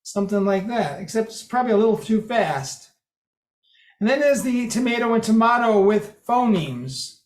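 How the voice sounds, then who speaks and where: A presenter walks a group through a code demo, talking loosely and correcting themselves as they go. The voice sits at a middling pitch. A roomy echo from the meeting room hangs on every word. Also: animated, moderate pace, darkish tone. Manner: explanatory, loose, with restarts and self-corrections.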